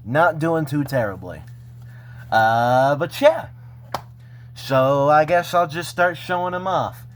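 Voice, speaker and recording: A man talking casually and unscripted as he shows off a personal collection, halting between phrases. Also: a little high, lively intonation, a little hiss or hum. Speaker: vlogger showing a personal collection. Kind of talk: casual unscripted show-and-tell.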